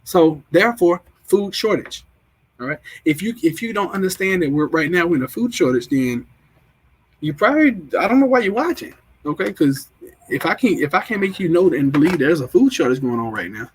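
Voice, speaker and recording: A man with a drawl talks loosely to his livestream viewers. His voice is husky and sits a little high, and he circles back and restarts his sentences as he goes. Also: expressive, brisk. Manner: rambling, repeats himself, restarts often. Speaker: livestream host. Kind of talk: casual livestream chat, reading viewer comments.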